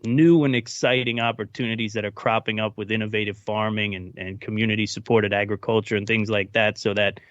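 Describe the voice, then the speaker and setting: A man's voice: on the low side, fairly even intonation, fairly quick, few pauses, fairly bright tone, no echo. A man on a discussion panel, talking casually and reflectively, often searching for his words. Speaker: panel participant. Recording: dull, dry, lo-fi sound.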